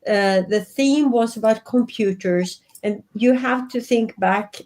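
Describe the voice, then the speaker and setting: A woman's voice: fairly high pitch, slow pace, darkish tone. A woman in her fifties from Sweden, with a Swedish accent, talking earnestly and reflectively as an interview guest. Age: 50s. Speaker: guest, life and business coach.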